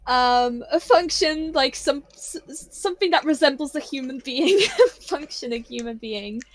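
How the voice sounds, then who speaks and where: Soft-spoken shy woman, Polish native speaker. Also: high-pitched, a little slow, slight background noise. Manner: shy, rambling, many fillers and restarts. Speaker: streamer guest. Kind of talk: casual podcast chat.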